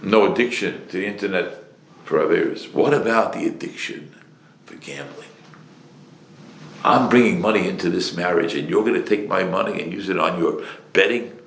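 A man's voice: deep voice, moderate pace, a bit bright, slight background noise. A man lecturing as a rabbi, speaking emphatically with rhetorical questions and repeated phrases, mixing in Hebrew and Yiddish terms. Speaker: rabbi giving a Torah lecture. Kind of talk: sermon to a live audience. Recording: echoey room.

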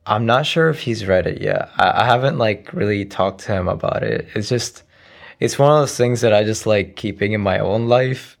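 A young man of about twenty from Iraq, whose first language is Arabic, talks in a low voice with a gravelly edge. His answers come out soft and hesitant, padded with frequent "you know"s, as an interview guest in a casual conversation. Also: normal speed. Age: around 20.

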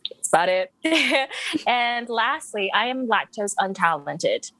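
A young woman with an international English accent that leans American chats casually as a guest, laughing lightly between her points. Her voice sits at an ordinary pitch and comes across close and dry, with no room sound at all. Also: very animated, slow, pushed and bright, no echo. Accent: international English with an American lean. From Malaysia. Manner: chatty, giggles between points. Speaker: podcast guest. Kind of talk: casual chat.

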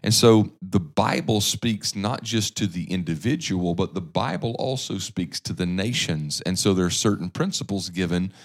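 A man's voice: low-pitched, moderate pace, few pauses. A man, hosting a church podcast and making earnest, preachy points that often restart mid-sentence. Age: 30s. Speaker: podcast host.

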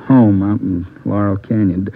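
A man's voice: on the low side, steady tone, moderate pace. A man acting a captured drug smuggler who bargains for protection, sullen and wary. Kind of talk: 1940s radio acting. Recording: tinny old radio recording.

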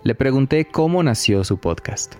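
A man hosting a podcast, reading scripted documentary narration in a measured, reflective way. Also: medium pitch, expressive, soft background music.

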